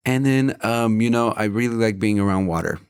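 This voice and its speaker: A man's voice: on the low side, a little fast, smooth, no echo, clean studio sound. A man from Mexico co-hosting a podcast, telling personal stories in casual chat with a hesitant, filler-heavy delivery. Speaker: podcast co-host.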